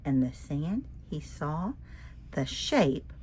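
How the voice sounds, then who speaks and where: A woman reading a rhyming children's picture book aloud in an expressive, sing-song way, asking the listener questions. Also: deep voice, takes it slowly, a little hiss or hum, rough recording.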